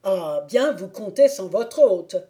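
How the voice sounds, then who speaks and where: A woman's voice: medium pitch, animated, slow pace, warm tone. A woman voicing a drunken young clog-maker who is touchy, quarrelsome and boastful. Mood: indignant.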